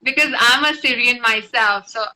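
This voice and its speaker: A woman's voice: a little high, normal speed, pushed and bright, low-quality recording. A woman spiritual guide talking informally and explaining things on a live stream, recorded on a phone with faint noise. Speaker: spiritual guide and meditation teacher.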